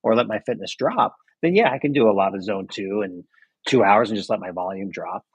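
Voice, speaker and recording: A man's voice: lowish voice, lively intonation, a little fast, husky, no echo, dull audio. A man, a cycling coach, explaining training ideas casually with many "right?" checks.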